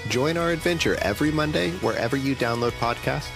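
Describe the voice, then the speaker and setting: A man's voice: medium pitch, quick pace, vocal fry. A man reading a scripted podcast promo. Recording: guitar music behind.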